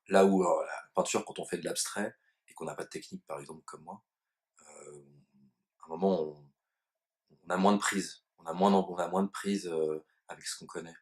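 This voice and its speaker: A man interviewing a guest, asking informal questions in a halting way with frequent restarts. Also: sits low.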